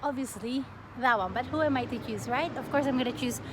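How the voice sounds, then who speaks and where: A young woman from the Philippines chatting casually as a plant vlogger, expressive and often breaking off mid-sentence. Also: high voice, a little fast. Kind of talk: casual get-to-know-me question-and-answer chat. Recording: clearly noisy home recording.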